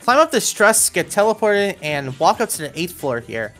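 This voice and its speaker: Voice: mid-range voice, sing-song, normal speed, fairly bright tone. A narrator giving scripted, step-by-step instructional commentary for a video game walkthrough. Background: background music.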